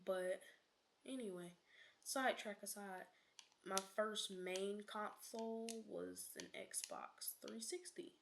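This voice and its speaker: A woman's voice: medium pitch, big pitch swings, slow, a bit bright, a little hiss or hum. A young woman streaming a game, chatting casually and rambling off on sidetracks, often correcting herself and dropping into whispered asides.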